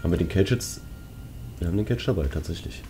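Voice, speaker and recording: A man's voice: low voice, fast. A man giving casual gameplay commentary in speech, musing aloud as he works through puzzles. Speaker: let's player. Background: game music.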